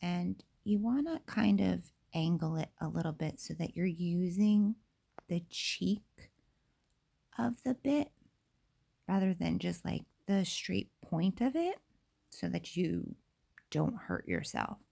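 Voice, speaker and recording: A young woman with a slightly raspy voice from feeling unwell, casually talking through a nail tutorial with many fillers and asides. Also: fairly low pitch, very animated, takes it slowly, warm tone.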